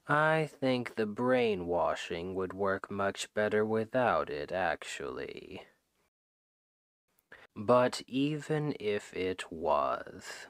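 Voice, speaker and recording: A man playing a suave, menacing, possessive demon king who woos his captive with slow, deliberate, softly condescending speech in an ASMR roleplay, close on the microphone. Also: deep voice, no echo, heavily acted. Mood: smug menace. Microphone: close microphone, dry.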